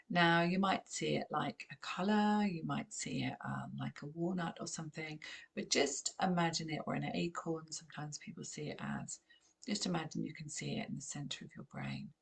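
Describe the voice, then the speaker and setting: A woman with a croaky voice, gently guiding a channeled meditation in a calm, unhurried way. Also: low-pitched. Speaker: spiritual channeler and host.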